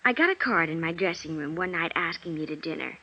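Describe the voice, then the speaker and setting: An actress playing a flustered, genteel young stage performer in a 1950s radio drama, with hesitant, breathy interjections. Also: female voice, low-pitched, animated, fast, pushed and bright, heavily acted. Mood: flustered, protesting. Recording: dull vintage radio recording.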